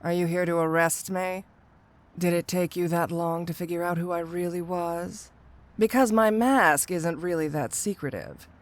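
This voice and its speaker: A woman's voice: on the low side, moderate pace, natural voice. A woman playing a flirty, dangerous cat burglar supervillain in an audio roleplay, teasing and sardonic with amused asides. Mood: suspicious, challenging. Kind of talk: scripted audio roleplay acting addressed to the listener.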